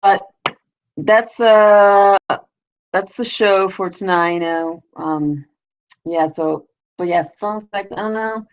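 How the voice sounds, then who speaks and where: A woman with a low, raspy voice hosting a casual live video show. She rambles crudely and leans on constant "you know" fillers. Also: slow pace, pauses often, lo-fi sound. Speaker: vlogger, show host.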